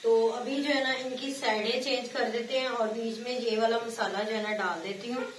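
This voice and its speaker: A woman's voice: a little high, steady tone, normal speed. A woman from Pakistan with a Pakistani Urdu accent, chatting casually as she explains her home cooking step by step. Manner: homely step-by-step talk, often saying "jo hai na". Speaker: village vlogger. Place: small room at home, sitting on a floor mat. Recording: echoey room with clear background noise.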